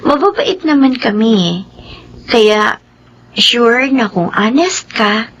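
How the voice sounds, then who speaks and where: An actress playing a kind but worried young woman of the house in a radio drama, speaking softly and earnestly. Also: a little high, sing-song, slow, dull audio, full character voice.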